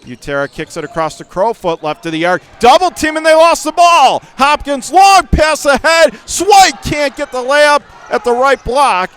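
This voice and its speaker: A man doing radio-style basketball play-by-play as the announcer, speaking in clipped phrases that lift into excited calls on big plays. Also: very high voice, normal speed, few pauses. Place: high school gym during a game.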